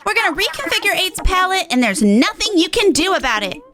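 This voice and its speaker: A woman voicing a brash, peppy young pop idol drone in a video game, slangy and excitable. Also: very high-pitched, a little fast, noisy background, pitched above the performer's own voice. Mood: upbeat.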